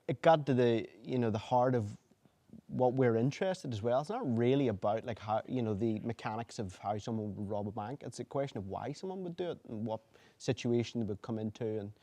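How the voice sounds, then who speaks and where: A man's voice: mid-range voice, a little fast, mellow. A man, a filmmaker on a festival panel, talking through his process with many restarts and fillers. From Northern Ireland. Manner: rambling, lots of restarts and "you know". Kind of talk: panel discussion.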